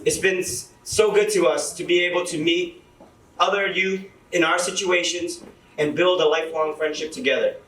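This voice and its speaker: A man's voice: on the high side, fairly even intonation, normal speed, fairly bright tone. A young man, a teacher, giving a chatty, laughing talk to an audience at a launch event. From Lowell, Massachusetts. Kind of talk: casual speech to an audience. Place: event hall. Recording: echoey hall from far off.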